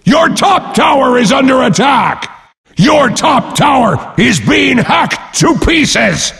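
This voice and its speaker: An actor playing a brash, boastful, battle-hungry warrior brute as a pompous, bellowing video game announcer. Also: male voice, sing-song, slow, creaky, pushed and bright, shouting, full character voice. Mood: alarmed bellowing. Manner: bellowing, pompous, speaks of himself in third person. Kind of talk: bombastic game announcer lines. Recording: long booming reverb.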